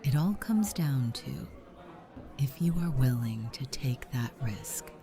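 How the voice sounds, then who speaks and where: A woman voicing a flirtatious, jealous, tender aunt in a visual novel game, speaking softly and intimately with a breathy delivery. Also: very deep voice, animated, slow pace, music in the background, heavily acted. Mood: earnest, longing.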